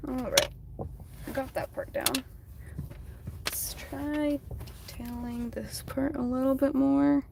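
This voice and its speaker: A woman whose first language is Russian, chatting softly on a casual live stream from her phone while she draws, reading out viewers' comments. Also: sits high, steady tone, slow pace, gravelly, long pauses, a little hiss or hum. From United States. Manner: soft-spoken, chatty, reads viewer comments aloud.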